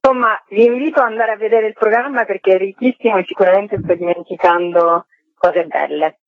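A woman's voice: mid-range voice, brisk, no room sound. A woman speaks over a narrow, muffled phone line with a dry sound. She is talkative and enthusiastic, answering a radio interview spontaneously as an event organizer. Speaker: festival organizer being interviewed. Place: phone call into a radio programme.

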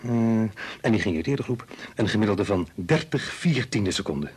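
An actor playing a brusque, absorbed research scientist in a Dutch radio play, with a dry manner. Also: male voice, lowish voice, animated, normal speed, breathy edge. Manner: dry, brusque, mutters figures to himself.

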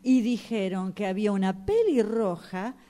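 A woman's voice: medium pitch, animated, moderate pace, warm tone, natural voice. A woman acting in a radio drama as a desperate, self-pitying client with a Rioplatense Argentine accent, telling her woes dramatically. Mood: aggrieved, wistful. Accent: Rioplatense Argentine Spanish. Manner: dramatic, complaining storytelling.

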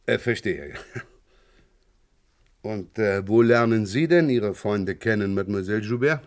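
A man playing an unhurried, exacting Paris chief inspector in a 1980s radio crime drama, questioning in a measured, formal way. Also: on the low side. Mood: polite probing.

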